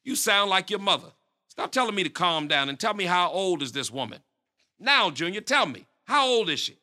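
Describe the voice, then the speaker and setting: A man's voice: high-pitched, big pitch swings, normal speed, pushed and bright, exaggerated voice. An actor playing a stern, easily riled middle-aged pastor and father who scolds in an exasperated, blustering way.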